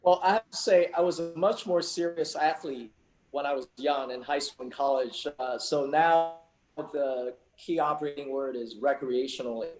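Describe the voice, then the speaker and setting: A man from Taiwan, whose first language is not English, answering interview questions in a measured way with many fillers and restarts. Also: a little high, steady tone, darkish tone, reverberant, soft background music, low-quality recording. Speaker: sports team owner, guest.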